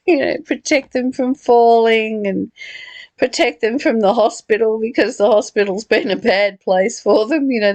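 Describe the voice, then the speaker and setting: Reflective woman with a creaky, close-sounding voice. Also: a little high, dry recording. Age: middle-aged. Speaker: study group leader. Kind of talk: reflective spoken study discussion.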